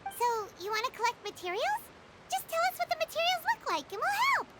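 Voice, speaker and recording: Teeny childlike fairy sidekick for a game, super high squeaky perky voice, bouncy intonation. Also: female voice, sing-song, a little fast. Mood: helpful, eager. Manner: perky, refers to herself in third person. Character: tiny childlike female fairy companion, eager and excitable. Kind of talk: video game voice acting. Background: prominent music.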